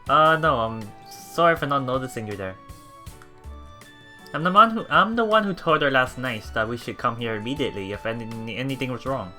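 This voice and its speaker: A man hosting a let's play, reading game text aloud and commenting casually in a halting, think-aloud way. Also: mid-range voice, expressive, pushed and bright. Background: game music.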